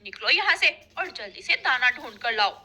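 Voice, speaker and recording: A woman voicing a fed-up crow wife in a cartoon story, nagging and sharp-tongued. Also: high voice, sing-song, a little fast, exaggerated voice. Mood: exasperated.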